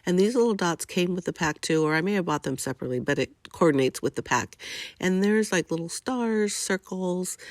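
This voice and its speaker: Stuffy-nosed older woman, gravelly and chatty. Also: fairly low pitch, dry recording. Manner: chatty and gushing, with frequent fillers. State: stuffy nose from a cold. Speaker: scrapbooking crafter. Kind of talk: voice-over narrating a crafting process video.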